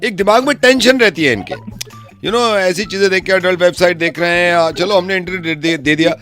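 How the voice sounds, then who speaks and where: A man's voice: sits high, fairly quick, a bit bright, soft background music, voice pushed up. A man on a prank phone call playing an earnest internet company official, deferential and hesitant, repeating himself in mock concern. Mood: earnest, agreeing.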